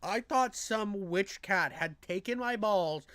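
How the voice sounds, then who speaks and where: A man streaming casual commentary in a high, nasal voice. He rambles, doubles back on his words and pokes crude fun at himself. The sound is close and dry. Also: slow pace, a bit bright, clear, resonant tone, dry recording. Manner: crude, self-deprecating, repeats himself. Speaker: streamer reacting to a video.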